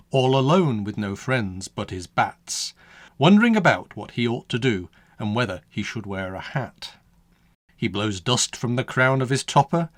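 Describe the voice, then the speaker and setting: A man, the author, reading his own rhyming storybook poem aloud with an expressive, sing-song delivery. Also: medium pitch, no echo, clean studio sound.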